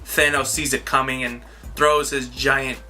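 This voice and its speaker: A woman's voice: very deep voice, flat delivery, unhurried, pushed and bright, soft background music. A woman co-hosting a film review, retelling scenes loosely in casual chat.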